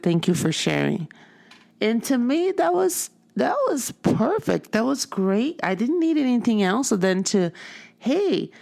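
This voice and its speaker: A woman's voice: medium pitch, vocal fry, darkish tone. A woman whose first language is Portuguese, hosting her own podcast in a chatty, storytelling monologue with frequent self-corrections. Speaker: podcast host. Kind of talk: casual motivational monologue.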